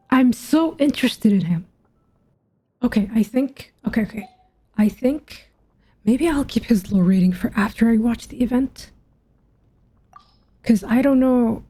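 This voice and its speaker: A woman streamer with a fairly high yet soft, mellow voice reacts to a game story. She thinks out loud casually, questioning what she sees and musing over it in informal, sometimes sweary language. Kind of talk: casual commentary, thinking aloud.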